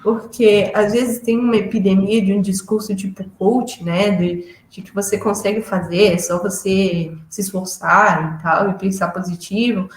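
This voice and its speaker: A woman's voice: mid-range voice, steady tone, moderate pace, few pauses. A young woman, reflecting conversationally as an interview guest, often tagging phrases with filler words. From Florianópolis, southern Brazil. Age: young adult. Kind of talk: casual reflective interview answers. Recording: roomy, echoey room sound.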